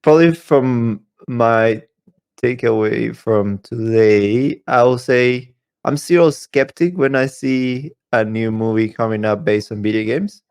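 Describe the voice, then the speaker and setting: A man's voice: mid-range voice, a little slow, a little mellow, clear, resonant tone. A man chatting casually as a podcast co-host. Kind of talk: casual chat.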